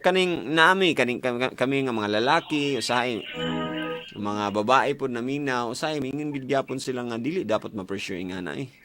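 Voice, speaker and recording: A man's voice: medium pitch, moderate pace. A man with a Filipino accent hosting a casual radio advice show, speaking conversationally and directly to listeners and callers. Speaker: radio host taking listener calls and reading messages. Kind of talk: casual radio advice talk in mixed languages. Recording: music bed behind radio voice. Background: guitar and keyboard music.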